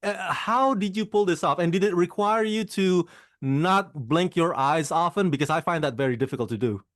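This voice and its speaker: Eager higher-voiced male interviewer. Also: moderate pace, no echo, clean studio sound. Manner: eager, laughs often. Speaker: film interviewer, channel host. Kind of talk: enthusiastic interview questions.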